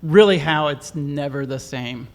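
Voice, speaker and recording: A male host opens a casual interview in a relaxed, rambling way. His voice sits fairly high, and his sentences often trail off softly. Long reverb hangs around him. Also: a little slow.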